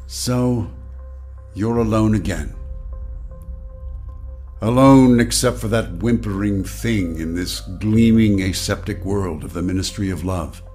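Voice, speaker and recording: A man playing a grave narrator and relentless inner voice in a radio drama, solemn and deliberate. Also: fairly low pitch, warm tone, soft background music. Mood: bleak, relentless.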